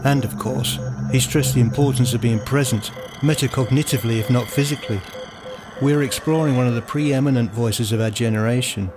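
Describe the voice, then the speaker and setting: A man reading scripted first-person literary narration in a measured, formal manner, as the narrator of a radio play. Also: medium pitch, background noise. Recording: voice over prominent music. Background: music.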